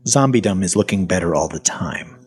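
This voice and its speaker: A man's voice: sits low, animated, fairly quick, slightly rough. An actor playing a wry, cynical half-zombie man in an audio fiction drama, telling his own story in a sardonic, colloquial manner. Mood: wry, exasperated. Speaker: voice actor and narrator. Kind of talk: first-person audio fiction narration and dialogue.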